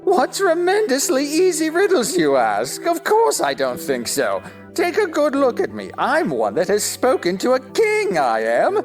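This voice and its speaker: A woman's voice: high voice, huge pitch swings, measured pace, faint music behind, full character voice. A woman playing a pompous, touchy, argumentative talking egg in a stage-play style audio drama, with haughty, exaggerated emphasis. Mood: smug. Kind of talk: theatrical audio drama acting.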